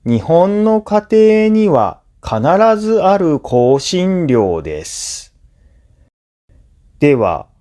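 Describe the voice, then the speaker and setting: A man reads scripted teaching material at a very slow, careful pace. His voice sits a little high and moves up and down in a lively way. He breaks sentences into short, deliberate segments so learners can follow. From Japan. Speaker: language teacher and narrator. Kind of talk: scripted listening-practice narration.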